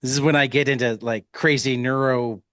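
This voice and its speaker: A man's voice: mid-range voice, fairly even intonation, moderate pace, a bit bright, no echo. Chatty male podcast host, dry sound. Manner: chatty, curious, digressive. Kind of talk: casual interview talk.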